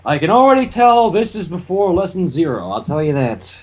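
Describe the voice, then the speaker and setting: A story reader with a husky, mid-pitched voice. The narration is lively and varied, loosening into casual chat with friends between passages. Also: a little fast, poor audio. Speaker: fan fiction reader.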